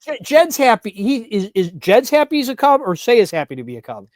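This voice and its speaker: A man hosting a sports podcast in a conversational, animated way, repeating phrases for emphasis. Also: very high voice, fairly quick, no room sound, clean studio sound.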